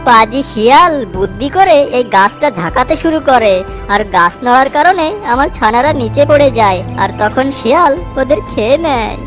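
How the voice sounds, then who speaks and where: Woman playing a high-voiced little mother bird. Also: expressive, moderate pace, soft background music. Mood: sorrowful. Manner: earnest, emotional. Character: small female mother bird, pleading, wronged, vengeful. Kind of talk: animated moral story voice acting.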